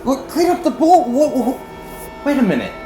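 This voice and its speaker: A woman's voice: sits high, sing-song, moderate pace, warm tone, roomy sound, soft background music. A woman voicing an incredulous, confrontational woman who has just moved into a house, in an audio drama.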